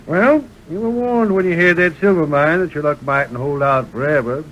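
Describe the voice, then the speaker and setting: An actor playing a hearty saloon bartender with a Western accent in an old radio western. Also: male voice, normal speed, dull audio, natural voice. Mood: amused, generous. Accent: American Western. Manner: hearty, animated. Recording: old radio recording, rumble and hiss.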